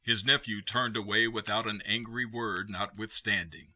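An actor narrating a classic Christmas tale in a radio play, with measured literary delivery. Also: male voice, on the low side, steady tone, pushed and bright, no room sound.

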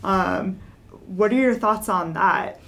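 Woman podcast host, mid-pitched, lots of mm-hmms and rights, asks long questions. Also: slow pace, a bit bright. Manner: attentive, frequent short backchannels like "right" and "mm-hmm". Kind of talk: casual interview conversation.

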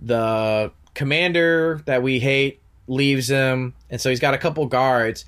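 A man's voice: medium pitch, a little slow, a bit bright, clear, resonant tone. Male podcast co-host, congested, casual run-on recap delivery. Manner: rambling, recounts scenes in long run-on stretches. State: stuffy nose.

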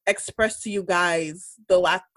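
A woman's voice: lowish voice, a bit bright, no room sound. Candid young woman podcaster, dry sound. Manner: open, candid, talks in quick run-on bursts. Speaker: podcast co-host. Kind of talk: casual chat.

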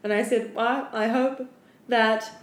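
A woman chatting casually as a podcast co-host, telling stories in an animated, slangy way and often laughing. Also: a little high, takes it slowly. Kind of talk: casual chat, storytelling. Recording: roomy, echoey room.

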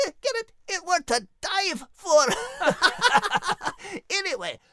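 A woman's voice: slow, slight background noise, full character voice. A woman voicing a cheerful, chatty Scottish animal character in a children's audio drama, with folksy, joking talk. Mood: wistful, jokey. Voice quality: high-pitched, animated voice.

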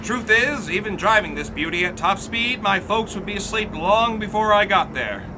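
An actor playing a breezy, boastful young college chum of the 1920s, jaunty and mock-formal, in a period audio drama. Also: male voice, high-pitched, expressive, normal speed, background noise.

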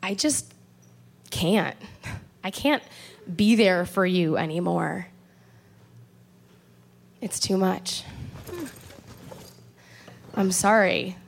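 A woman's voice: fairly low pitch, drawn-out words, creaky, long pauses, exaggerated voice. A woman playing an exasperated, worn-out young woman in a horror radio play, earnest and emotionally strained. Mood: regretful, resolute. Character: exasperated, worn-out young woman leaving her troubled girlfriend.

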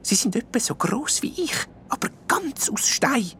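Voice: mid-range voice, big pitch swings, normal speed, sharp tone, a little hiss or hum, pitched above the performer's own voice. A storyteller voicing a shy purple elf who explains things hesitantly but eagerly.

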